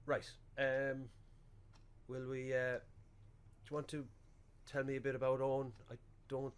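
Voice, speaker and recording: An actor playing a well-meaning but bumbling Irish funeral director in a radio play, chatty, hesitant and rambling. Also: male voice, medium pitch, monotone, measured pace, smooth, natural voice. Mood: solicitous, hesitant.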